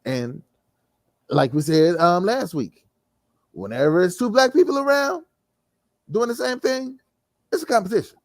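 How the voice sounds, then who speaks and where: A man's voice: sits high, animated, a little slow, long pauses, dry recording. A man co-hosting a podcast, chatting casually in a slangy, profane, joking way. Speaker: podcast co-host. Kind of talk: casual chat.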